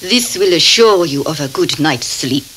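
An actress playing a solicitous, foreboding woman innkeeper with an Eastern European accent in a radio drama, halting and deferential. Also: low voice, expressive, a bit bright, poor audio. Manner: halting, tag questions, deferential. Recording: hissy 1979 cassette tape.

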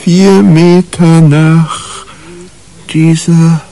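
An actor playing a clairvoyant in a trance, groping for visions in halting fragments, in a radio crime drama. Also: male voice, fairly high pitch, flat delivery, creaky, mellow, exaggerated voice, low-quality recording. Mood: trancelike, searching.

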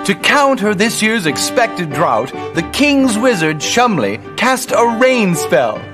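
A young town crier giving a comic bulletin in a game cutscene. He declaims earnestly like an announcer, his pitch lively and varied, under very loud music. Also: slow pace, exaggerated voice. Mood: mock-grave announcing.

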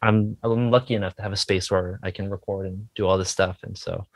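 A man with a fairly low voice chats casually in an interview. He is hesitant and self-deprecating, feeling his way through answers. The sound is fairly dry and close. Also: steady tone, fairly quick, no echo. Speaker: musician guest, indie rock songwriter.

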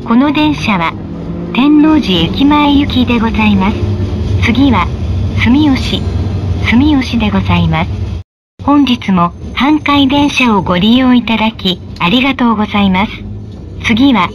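Lady doing polite train stop announcements, kinda high voice, careful wording, clear tram noise over it. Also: animated, highs cut off. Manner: polite, measured, carefully enunciated. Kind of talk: formal scripted stop announcements. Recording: noisy in-vehicle recording with tram rumble. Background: tram running noise.

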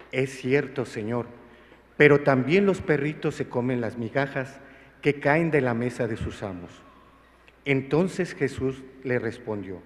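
A man reads the Gospel at Mass in a mid-pitched voice. He is solemn and declamatory, setting out short measured phrases, with long reverb trailing after them. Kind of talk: solemn liturgical scripture reading. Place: large church interior.

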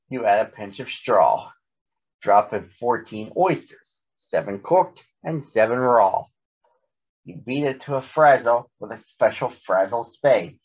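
A man's voice: medium pitch, breathy edge, highs cut off. A man voicing a smug, chatty male storybook monster who gabs breezily and is devoted to his mother. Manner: gabbing and jabbering, breezily dismissive.

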